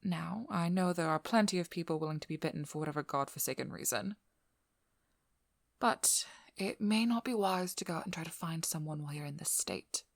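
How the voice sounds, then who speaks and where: A woman playing a stern, wry, protective vampire hunter in an intimate ASMR role-play, speaking in a measured, firm voice that often drops to a whisper. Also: deep voice, creaky, no echo. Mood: firm, explanatory. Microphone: close microphone.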